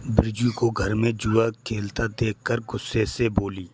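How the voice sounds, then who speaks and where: A man's voice: lowish voice, little pitch movement, measured pace, a little mellow, breathy edge. A man reading scripted narration for a cartoon moral story.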